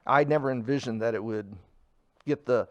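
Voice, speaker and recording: A man's voice: mid-range voice, moderate pace, no room sound, muffled recording. A middle-aged man, a food truck owner, earnestly explaining in a casual interview with frequent restarts.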